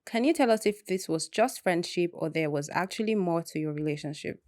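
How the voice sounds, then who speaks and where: A woman interviewer asking prepared questions in a steady, scripted manner. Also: on the low side, brisk, no room sound, studio quality.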